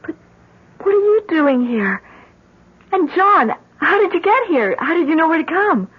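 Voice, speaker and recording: An actress playing a fragile, confused young daughter in a 1970s radio drama, sweet and faltering in manner. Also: female voice, extremely high pitch, big pitch swings, unhurried, heavily acted. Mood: dazed, pleased. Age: young adult. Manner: hesitant, faltering. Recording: tinny, narrow-band 1970s radio recording.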